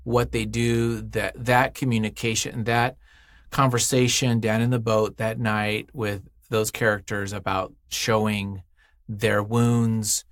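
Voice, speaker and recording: A man's voice: fairly low pitch, fairly bright tone, no room sound, studio quality. A man speaking as an interview guest in casual, unscripted chat, effusive and emphatic, often thinking aloud.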